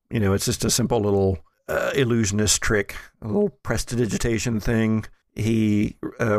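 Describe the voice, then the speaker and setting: A man with a creaky voice narrates a tabletop game as its game master. He feels his way through descriptions informally and haltingly, in a dry, close sound. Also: lowish voice, no room sound.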